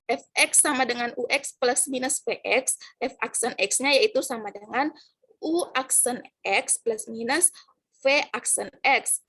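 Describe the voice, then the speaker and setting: A woman's voice: a little high, measured pace, fairly bright tone, slightly rough. A young woman student giving a class presentation, reading formulas and definitions from slides with many hesitations and fillers.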